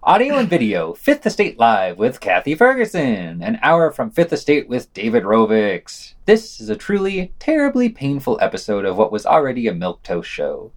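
A man's voice: mid-range voice, wildly swooping pitch, normal speed, hardly any pauses. A man reading a scripted podcast review in a sardonic, scornful manner. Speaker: podcast news reader.

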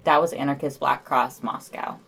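Female podcast co-host, low-pitched, reading news summaries from a script with casual asides. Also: steady tone, normal speed, gravelly, a bit bright, husky.

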